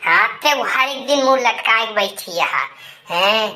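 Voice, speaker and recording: Woman voicing a bright, bossy village mother-in-law. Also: fairly high pitch, lively intonation, unhurried. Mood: scolding, nosy. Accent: Awadhi. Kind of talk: comic cartoon dialogue.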